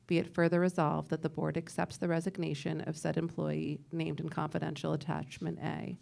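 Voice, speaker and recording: A woman chairing a public board meeting, briskly calling motions and reading resolutions aloud in a large meeting room. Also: low voice, level tone, gravelly, a little mellow. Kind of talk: formal meeting procedure.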